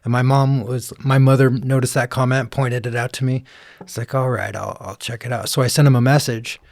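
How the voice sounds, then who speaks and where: A man with a quiet voice, telling stories in a relaxed, rambling way as a guest in an interview. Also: medium pitch, brisk, gravelly, no echo, studio quality. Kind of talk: casual interview conversation.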